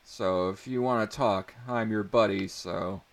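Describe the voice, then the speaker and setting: Man doing a high-pitched dorky teenage boy. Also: a little slow, vocal fry. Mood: earnest, encouraging. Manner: dorky, eager. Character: short scrawny dorky teenage boy, excitable loyal friend. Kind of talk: dramatic audiobook reading.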